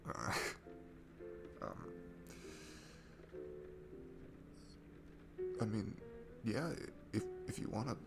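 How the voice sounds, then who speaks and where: Shy, sweet guy alone at a bar, played by a man for ASMR audio: heavy vocal fry, lively shifting pitch, hesitant, stammering and self-effacing. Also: takes it slowly, exaggerated voice. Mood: nervous, self-deprecating. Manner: hesitant, stammering, self-deprecating, breaking into hushed breathy asides. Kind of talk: intimate ASMR roleplay audio acting. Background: music.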